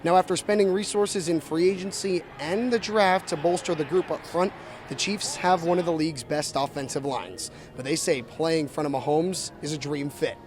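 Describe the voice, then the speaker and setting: A man's voice: on the high side, moderate pace. A man working as a sports reporter, delivering a scripted report.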